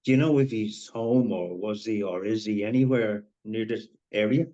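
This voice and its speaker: Man acting mellow, concerned coworker, muffled. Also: lowish voice, moderate pace, natural voice. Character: concerned male coworker asking after family. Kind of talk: live table read of screenplay.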